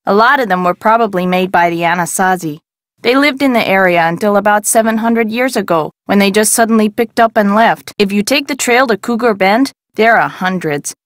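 A woman's voice: medium pitch, fairly quick, no echo, clean studio sound, lightly acted. An actress playing a friendly middle-aged woman who runs a desert craft shop in a video game, talking in a folksy, conversational way. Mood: helpful, chatty. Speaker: shop owner character in an adventure game. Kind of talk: scripted video game dialogue.

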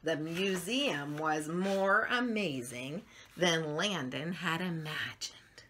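A middle-aged woman reading a story aloud for children, expressively and with drawn-out emphasis on words. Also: low voice, fairly bright tone, slight background noise. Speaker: children's story channel host reading picture books aloud. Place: small furnished room at home, indoors.

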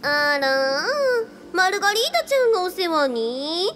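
A woman's voice: very high voice, sing-song, measured pace, a bit bright, a little hiss or hum, full character voice. A woman playing the breezy, flirtatious spirit of a glamorous female film star in a radio drama. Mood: playful. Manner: breezy, coquettish.

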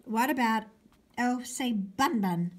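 A woman's voice: a little high, animated, slow, pitched above the performer's own voice. Woman playing a harried, forcedly patient mother. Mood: hopeful coaxing. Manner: strained, coaxing.

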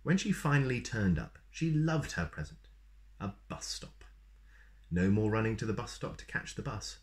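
A man in his thirties reading a children's story aloud in an expressive, playful way. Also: lowish voice, moderate pace. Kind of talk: animated read-aloud with asides to young listeners. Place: small plain room indoors.

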